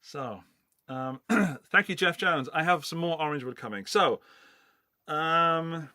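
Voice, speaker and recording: A man's voice: fairly high pitch, a little slow, sharp tone, no echo. A middle-aged man from England, hosting a casual live-stream giveaway in a loose, rambling, chatty way. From England, Forest of Dean in Gloucestershire. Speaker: livestream host running a giveaway.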